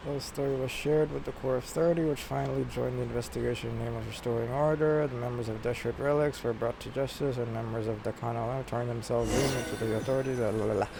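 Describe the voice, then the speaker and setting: A woman's voice: extremely low pitch, steady tone, fast talker, vocal fry, hardly any pauses. A woman giving casual playthrough commentary as a game streamer, sometimes reading lines aloud. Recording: noisy, with game sound behind.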